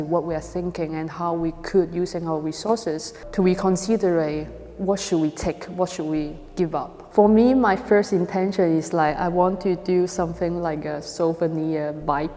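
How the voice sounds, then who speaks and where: Mellow woman, Hong Kong accent, reverberant hall. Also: lowish voice. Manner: hesitant, halting non-native English. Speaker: video and installation artist. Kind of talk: artist talk presenting an exhibition.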